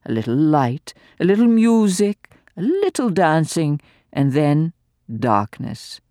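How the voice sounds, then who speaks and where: Reader doing a spiteful old aristocratic lady, high sneering voice, swooping theatrical delivery, slow and dry. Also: sing-song, mellow, dry recording. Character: spiteful old aristocratic woman, cackling and venomous.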